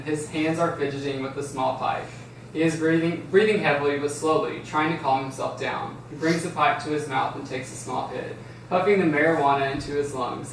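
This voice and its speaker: A man reading scripted stage directions aloud at a table read, sometimes stumbling and restarting. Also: on the high side. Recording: very echoey room, distant mic, background hiss.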